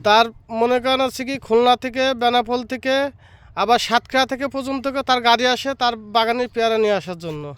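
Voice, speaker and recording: High-pitched man presenting an outdoor vlog. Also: fast, a bit bright, clean tone, dry recording. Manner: repetitive, addressing viewers directly. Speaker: vlogger, host. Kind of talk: informal vlog presenting to viewers. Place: outdoors in a guava orchard. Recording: outdoor recording with faint background noise.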